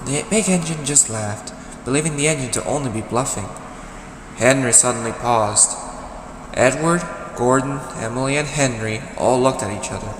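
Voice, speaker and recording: A man narrating a dark children's story as scripted audio drama, with dramatic delivery and deliberate pauses. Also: medium pitch, slight background noise. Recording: echoey, reverberant hall sound. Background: loud music.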